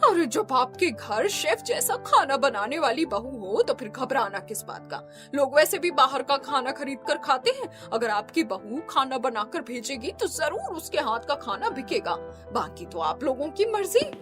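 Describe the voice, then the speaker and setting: A woman's voice: high voice, big pitch swings, moderate pace, hardly any pauses, over music. A woman voicing a friendly, encouraging middle-aged neighbour with chatty, emphatic speech in a cartoon.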